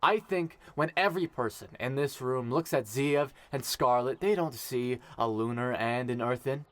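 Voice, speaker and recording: A man playing a dignified, sincere young emperor in an audio drama, speaking in a measured, formal way. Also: medium pitch, fairly bright tone, no echo, close to an everyday voice. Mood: solemn, sincere. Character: warm, dignified young emperor officiating a wedding.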